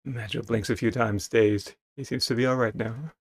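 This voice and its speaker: A man's voice: medium pitch, steady tone, moderate pace, vocal fry, slightly rough. A man playing a video game, reading its text aloud dramatically with stumbles and casual commentary. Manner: theatrical, stumbles over written text. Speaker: video game player and streamer.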